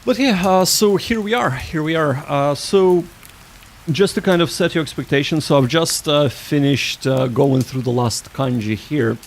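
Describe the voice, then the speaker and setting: A man hosting a livestream, chatting casually with viewers in a halting, rambling way that often restarts sentences. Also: fairly high pitch, a little hiss or hum. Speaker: livestream host.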